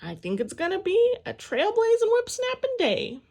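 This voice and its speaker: A woman plays an upbeat eight-year-old girl in a storybook. She is high and swooping, belting out folksy cowboy exclamations with big cheerful energy. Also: huge pitch swings, normal speed, darkish tone, heavily acted. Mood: hopeful, loud.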